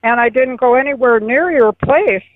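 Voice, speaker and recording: A woman in her eighties on a phone call, protesting in a flustered, halting way. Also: fairly high pitch. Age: 80s. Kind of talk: unscripted phone conversation. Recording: tinny phone line.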